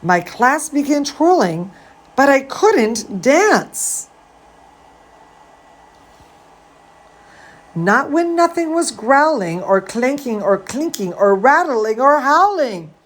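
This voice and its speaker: Woman as a dramatic little zombie ballerina, slow-spoken. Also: sits high, big pitch swings, full character voice. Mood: dramatic, nervous. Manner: theatrical, stretched-out words. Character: young zombie girl ballerina, dramatic, earnest storybook narrator.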